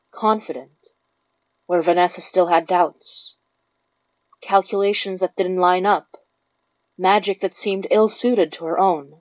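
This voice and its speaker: A woman's voice: fairly low pitch, no echo, poor audio. A woman reading scripted narration for a horror story. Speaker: narrator of a horror fiction podcast.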